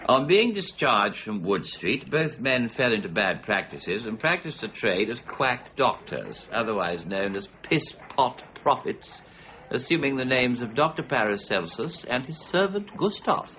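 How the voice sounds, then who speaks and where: An actor playing a stubborn, exacting middle-aged warden of the Royal Mint, precise and formal in a radio drama. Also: male voice, medium pitch, sing-song, a little slow, bright, forceful tone. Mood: stern, menacing. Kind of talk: BBC radio drama acting. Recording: muffled radio broadcast with faint noise.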